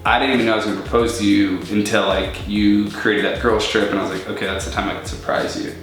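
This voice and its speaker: A laid-back young man talks quickly with his fiancée, mostly in quick, short replies. His voice is at a middling pitch and sounds very roomy. Faint music plays behind it. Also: level tone, fairly bright tone. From California. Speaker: fiancé, co-host guest. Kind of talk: casual couple Q&A chat.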